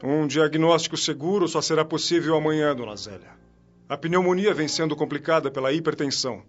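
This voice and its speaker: An actor playing a formal, cautious family doctor giving serious advice in a radio drama. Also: male voice, on the high side, fairly quick, close to an everyday voice. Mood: grave, cautioning. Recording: dull lo-fi sound under music.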